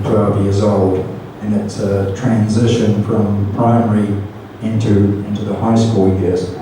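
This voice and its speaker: A man with a New Zealand accent gives an informal talk as a Buddhist monk, hesitant and gently self-deprecating, with light humour. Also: fairly low pitch. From Auckland, New Zealand. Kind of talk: informal Dhamma talk to an audience. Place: community centre hall. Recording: distant mic, very echoey room, noisy.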